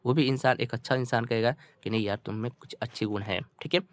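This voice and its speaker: A man's voice: mid-range voice, steady tone, fast talker, no room sound. A man with an Indian accent teaching through a story, explaining phrase by phrase with repetition and checking questions. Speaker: English teacher. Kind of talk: bilingual storytelling lesson, translating line by line.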